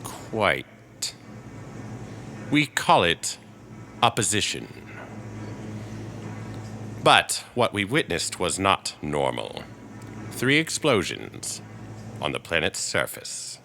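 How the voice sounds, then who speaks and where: An actor playing a reserved, scholarly male astronomy professor in a radio drama, speaking in a halting, deliberate, formal way. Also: low voice, wildly swooping pitch, creaky, pushed and bright, slight background noise, exaggerated voice. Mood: measured, grave. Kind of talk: radio drama acting in 1938 broadcast style.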